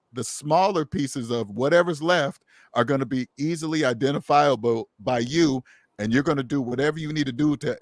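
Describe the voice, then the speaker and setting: A man's voice: mid-range voice, dry recording. A man with a mid-range voice holds forth in a casual talk. He is emphatic and a little preachy, doubling back on his words to drive a point home. The sound is close and dry, with no room around it. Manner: emphatic, preachy, repeats himself for stress. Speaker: podcast host. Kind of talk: casual conversation.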